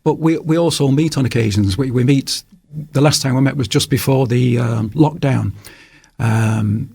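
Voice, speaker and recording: An older man with a Manchester accent is interviewed as a guest author in a radio studio. His mid-pitched voice sounds dry and close, with no room echo. He talks in a relaxed, rambling way, often pausing on fillers. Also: creaky, husky. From England.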